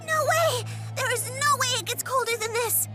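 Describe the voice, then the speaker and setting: A tiny, excitable childlike creature. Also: female voice, extremely high pitch, normal speed. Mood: indignant. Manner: exclamatory, childlike. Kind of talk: scripted game dialogue. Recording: loud game music behind.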